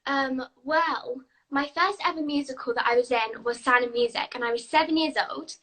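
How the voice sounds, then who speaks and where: A woman's voice: sits high, moderate pace, bright, forceful tone, rough recording. A young British girl actress, bubbly and eager, answering questions in a live video interview. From England. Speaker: guest actress.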